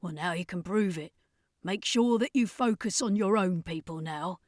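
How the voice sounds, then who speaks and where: A woman's voice: lowish voice, moderate pace, darkish tone, no echo, studio quality, lightly acted. A woman voicing a worldly, affectionate circus heroine with a drawling manner in an audiobook. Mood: encouraging. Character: warm, worldly circus woman who calls everyone darling.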